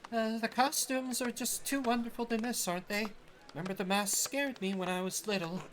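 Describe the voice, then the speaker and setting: A man voicing a chatty, excitable young village woman in a video game, eager and gushing. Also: high voice, brisk, slight background noise, voice pushed up. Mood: excited, delighted.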